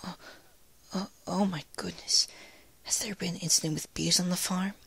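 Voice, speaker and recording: Timid female pegasus for a pony story, played by a man: breathy, hesitant, raised pitch. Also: fairly even intonation, moderate pace, bright, forceful tone, full character voice. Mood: gentle, concerned. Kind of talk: fan fiction read aloud in character.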